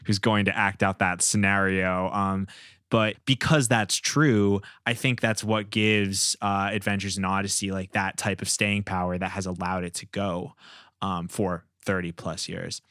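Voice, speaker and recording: A man's voice: low-pitched, steady tone, measured pace, fairly bright tone, no echo, studio quality. A man hosting a casual podcast chat in an informal, reactive, conversational way. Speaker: podcast host.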